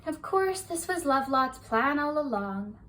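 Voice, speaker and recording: Bedtime reader, woman, high voice, soft rhyming lilt, distant mic with faint hiss. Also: animated, a little slow. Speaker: storybook reader. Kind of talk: bedtime story read-aloud.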